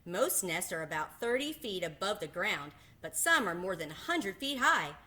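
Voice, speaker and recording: A woman's voice: lowish voice, very animated, moderate pace, sharp tone. A woman reading a children's book aloud, as a teacher would to a class. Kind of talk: children's storybook read-aloud.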